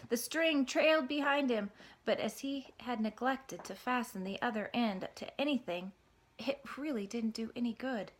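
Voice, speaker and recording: A woman narrating a children's storybook in an expressive read-aloud style. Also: fairly high pitch. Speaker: storybook reader.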